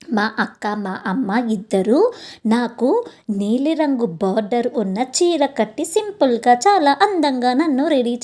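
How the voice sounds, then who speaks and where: A woman narrates a romantic tale in the first person. Her voice sits fairly high, with a very mellow, dark-edged tone. She tells it expressively, addressing her listeners directly as a storyteller. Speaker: storyteller, channel host.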